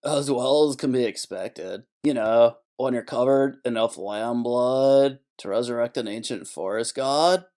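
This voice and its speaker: A man playing a chatty, weary slaughterhouse worker in a comic noir audio play, rambling and deadpan. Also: medium pitch, a little slow, few pauses, lightly acted. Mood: chatty, blunt.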